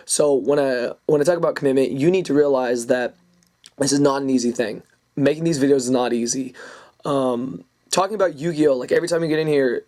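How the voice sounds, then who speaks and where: A young man with a raspy voice he is losing, hosting a casual advice vlog and talking loosely with lots of ums and uhs. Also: medium pitch, darkish tone. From California. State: hoarse, losing his voice. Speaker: YouTube card game channel host.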